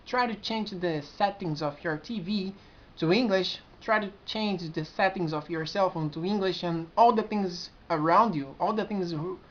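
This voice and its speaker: A man in his twenties with a Brazilian accent talks straight to the camera in an earnest, halting way, often restarting his sentences. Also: high voice. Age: 20s. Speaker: community administrator. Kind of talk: casual vlog to camera. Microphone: built-in camera mic. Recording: dull lo-fi camera audio.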